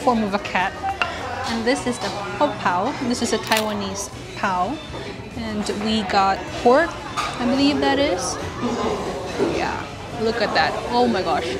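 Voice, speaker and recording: A woman's voice: on the high side, animated, measured pace, loud music behind the voice. A young woman hosting a casual food vlog, chatting upbeat while tasting dishes in a cafe on a lapel mic. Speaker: food vlogger host. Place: small cafe indoors and street outdoors.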